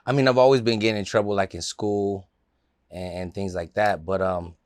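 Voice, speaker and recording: Low-voiced laid-back man from Los Angeles. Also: steady tone, moderate pace, a little mellow, no room sound, clean studio sound. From Los Angeles area. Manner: laid-back, loose storytelling with many fillers. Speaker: interview guest, rapper. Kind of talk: casual interview talk.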